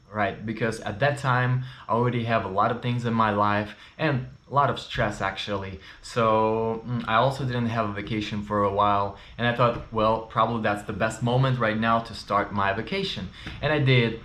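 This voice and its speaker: A man's voice: mid-range voice, a bit bright, slight background noise. A man in his thirties with a Russian and Ukrainian accent, a non-native English speaker telling his personal story to camera in a candid, chatty way with frequent fillers. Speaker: vlogger. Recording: roomy echo.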